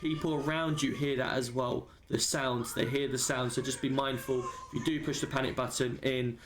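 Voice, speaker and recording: A man's voice: medium pitch, fairly even intonation, moderate pace, noisy background. Man giving a casual walkthrough. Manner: informal, matter-of-fact explaining. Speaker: roleplay server member giving a tutorial. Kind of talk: casual screen walkthrough of a game system.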